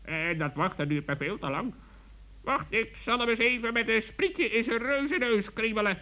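A man's voice: high voice, moderate pace, sharp tone. A man playing a cheeky, impatient forest animal in a children's radio play, with a teasing, mocking manner.

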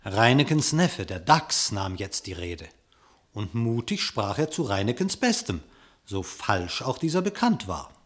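A man narrating a literary radio drama in verse, with a measured, declamatory delivery. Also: fairly low pitch, very animated, slightly rough.

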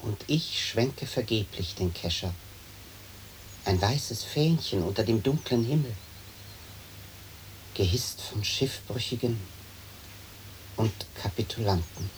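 An actor playing a wistful, dreamy gentleman butterfly collector in a radio play, speaking in a lyrical, musing way on an old recording. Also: lowish voice, animated, slow pace, long pauses, slight background noise, low-quality recording. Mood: dreamy longing. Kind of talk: poetic German stereo radio play acting.

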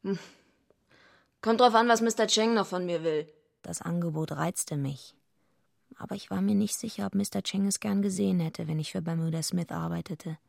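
A woman's voice: on the low side, normal speed, vocal fry, no echo, studio quality. An actress playing a tough, streetwise young woman, narrating a radio drama in a wry, matter-of-fact way. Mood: casual, deferential.

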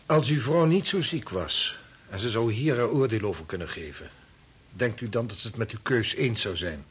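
An actor playing a sober, probing research colleague who questions in a measured way, in a radio play. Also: male voice, fairly low pitch, animated, breathy edge. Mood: measured, serious. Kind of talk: Dutch radio play acting.

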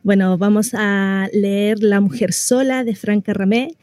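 A woman, a radio guest explaining a reading and pausing to search for words. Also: mid-range voice, steady tone, a little mellow, no echo. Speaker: guest reader on radio show. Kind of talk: introducing a dramatic reading.

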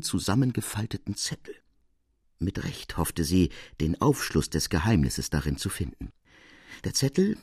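A man giving an expressive literary narration, reading in a measured, articulate way. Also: on the low side, slightly rough, no echo, studio quality.

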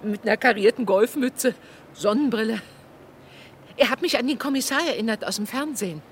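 A woman's voice: fairly high pitch, a little fast, slight background noise, lightly acted. An actress playing a chatty, observant kiosk woman and housewife giving matter-of-fact testimony in a German radio crime drama.

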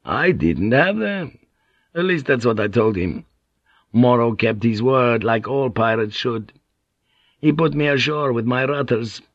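A man's voice: medium pitch, lively intonation, unhurried, dry recording, muffled recording. A man voicing a brash, swaggering Portuguese ship's pilot in an audiobook, cocky, bluffing and coarse-mouthed. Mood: wry.

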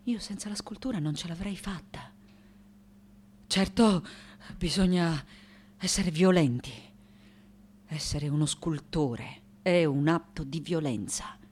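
A woman's voice: low voice, slow, pauses often, slightly rough, exaggerated voice. An actress playing an elderly, defiant, vengeful woman sculptor. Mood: brooding, bitter. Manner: intense, theatrical, swinging from hushed to loud. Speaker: actress in a radio play. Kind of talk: dramatic radio theatre monologue.

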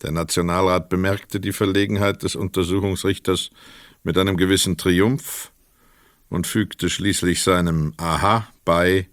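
A man narrating a literary radio play from a script in a measured, precise storytelling manner. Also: deep voice, steady tone, dry recording, studio quality.

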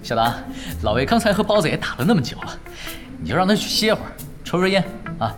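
Laid-back sardonic young gamer, lively. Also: male voice, high-pitched, fast. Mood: nonchalant. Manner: dry, teasing, nonchalant. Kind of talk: Chinese radio drama acting. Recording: music behind the voice.

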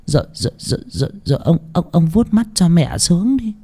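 Guy playing a shaky scared mom, stammers a lot, a bit higher, husky. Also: very animated, a little fast, dark tone, full character voice. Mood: trembling. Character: trembling middle-aged mother, fearful.

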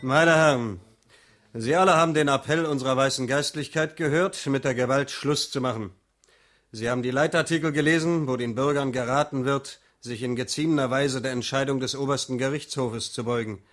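A man's voice: medium pitch, fairly quick, a bit bright, close to an everyday voice. An actor playing a formal civic chairman addressing a meeting in a radio play, speaking in an oratorical manner. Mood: stern, formal.

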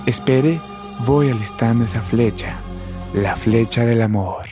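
A man acting as a mischievous Colombian Cupid in a radio comedy sketch. He talks slowly at a middling pitch, teasing and materialistic, with clear music playing behind him. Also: lightly acted. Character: mischievous, materialistic male Cupid pushing his love arrows.

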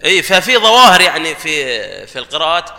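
A man, a guest on a panel, explaining his points with loud bursts of emphasis. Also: a little high, big pitch swings, fast, vocal fry. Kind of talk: panel discussion. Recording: reverberant hall sound.

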